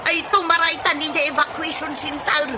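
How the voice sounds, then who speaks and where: A woman's voice: high-pitched, level tone, moderate pace, background noise, close to an everyday voice. A woman with an Ilocano Filipino accent playing a nagging, fretful village wife in a radio comedy drama. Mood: fretful, panicky.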